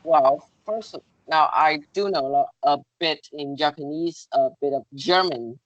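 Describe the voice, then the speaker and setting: Low-voiced hesitant Vietnamese-speaking woman. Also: a little slow, dry recording, lo-fi sound. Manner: hesitant, searching for words, many fillers. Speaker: contestant. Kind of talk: English-speaking contest interview.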